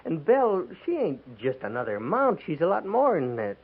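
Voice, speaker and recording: A pleading American cavalry private in a 1950s radio western. He stammers in rough country grammar, his husky voice pitched high and swinging up and down, on a narrow, tinny old recording. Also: huge pitch swings, normal speed, full character voice. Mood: distressed pleading. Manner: stammering, pleading, folksy ungrammatical speech. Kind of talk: 1950s radio drama acting.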